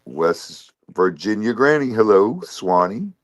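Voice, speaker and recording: A man's voice: on the low side, big pitch swings, slow. A man co-hosting a casual livestream, his sinuses acting up, greeting viewers one by one by name. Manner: reads out viewers' names in greetings. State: congested sinuses. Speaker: co-host.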